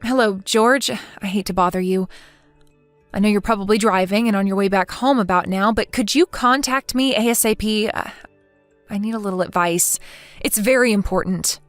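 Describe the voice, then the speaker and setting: A woman playing a wronged mother in her forties in a dramatized story, earnest and aggrieved but measured. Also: mid-range voice, dry recording, soft background music, lightly acted. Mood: worried, polite. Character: wronged mother over forty, indignant yet polite.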